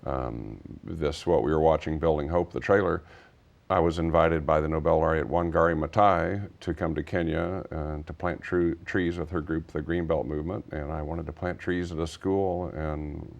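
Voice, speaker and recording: A man's voice: extremely low pitch, vocal fry, muffled recording. A middle-aged American man from Texas speaking as a guest in an interview, earnest and discursive as he explains things conversationally. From Texas, United States. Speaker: interview guest, nonprofit founder.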